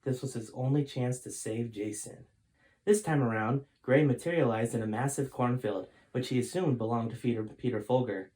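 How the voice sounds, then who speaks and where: A man narrates a storybook with a middling pitch. His reading is expressive and emphatic, in a dramatic storytime style. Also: moderate pace, rough recording. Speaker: author reading own book aloud.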